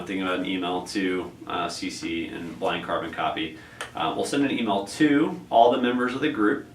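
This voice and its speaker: A man coordinating a tutoring program, explaining the process in a rambling way with many fillers and restarts. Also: on the low side. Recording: echoey room, distant mic, faint noise.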